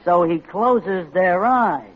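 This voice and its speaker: An actor playing a slick, shady hustler in a 1940s radio comedy, glib, slangy and wheedling. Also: male voice, high-pitched, animated, takes it slowly, heavily acted. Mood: wheedling, sly. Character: slick, shady male hustler, falsely wounded and persuasive. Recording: dull, noisy old radio recording.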